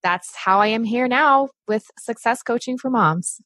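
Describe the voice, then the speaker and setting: A woman's voice: on the high side, unhurried, fairly bright tone, dry recording. Chatty woman from Brazil, dry close sound. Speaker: podcast guest, success coach for moms. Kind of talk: casual interview chat.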